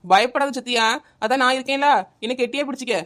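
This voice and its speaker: A woman playing a brave young village aunt who talks quickly and reassuringly in an amateur audio drama. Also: high-pitched, steady tone, fairly bright tone. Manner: reassuring, brisk, fast-talking.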